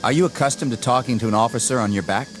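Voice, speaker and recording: An actor playing an arrogant, inexperienced army lieutenant in a radio drama, with a clipped, formal, commanding manner. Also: male voice, mid-range voice, very fast, natural voice. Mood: stern. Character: arrogant, rigid, inexperienced young army lieutenant, eager for combat. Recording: dramatic music under the dialogue.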